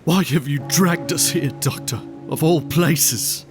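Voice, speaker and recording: An actor playing an impatient, sceptical gentleman in an audio drama, with a brusque manner. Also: fairly high pitch, slow, over music. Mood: indignant. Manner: brusque, demanding.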